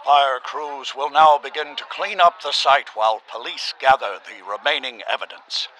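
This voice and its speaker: A man's voice: mid-range voice, pushed and bright, close to an everyday voice. A man playing a solemn, declamatory field correspondent in a vintage-style radio drama, on a noisy outdoor broadcast. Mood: solemn, dramatic. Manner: declamatory, measured reporting. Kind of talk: vintage radio news acting.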